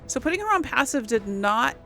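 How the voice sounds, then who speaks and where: A woman's voice: sits high, very animated, brisk, vocal fry, over music. A woman hosting casual video game commentary, thinking aloud as she plays. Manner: thinks aloud while playing. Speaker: gaming host.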